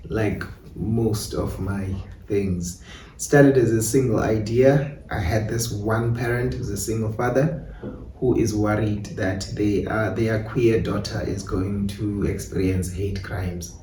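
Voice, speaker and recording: Low, mellow South African man. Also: recorded from a distance. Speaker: playwright and director being interviewed. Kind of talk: reflective interview talk. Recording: echoey room, off-mic, faint noise.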